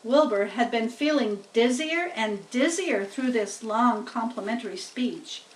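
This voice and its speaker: A woman reads a storybook aloud for children in a high voice. She is deliberate and expressive, taking her time, and the recording is echoey and a little distant. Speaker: storybook reader. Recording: roomy echo, distant microphone.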